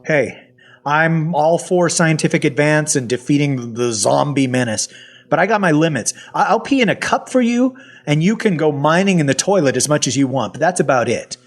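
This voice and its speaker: A man's voice: on the low side, very animated, normal speed, husky, soft background music. A man playing a sardonic, folksy half-zombie survivor telling his story in an audio drama. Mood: nervous protest. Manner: folksy, colloquial, wry. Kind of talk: first-person audio fiction narration with acted dialogue.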